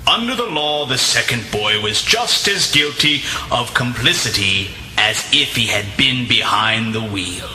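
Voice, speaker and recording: Man playing a bright, stern, echoey law professor. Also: medium pitch, expressive, a little slow. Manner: formal, didactic. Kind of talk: lecture scene in comic drama. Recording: echoey voice with faint music. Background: faint music.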